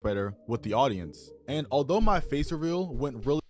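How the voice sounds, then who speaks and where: A man's voice: medium pitch, very animated, a little fast, darkish tone. Man reading a scripted commentary. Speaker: YouTube commentary narrator. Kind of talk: scripted video narration.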